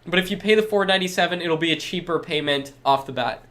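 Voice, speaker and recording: A young man hosts a live webinar, reading questions from the chat and answering each one in an upbeat, reassuring way. His voice sits fairly high for a man. A head cold leaves it a little stuffed up. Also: fast talker, fairly bright tone. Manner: upbeat and reassuring, reads chat aloud then replies.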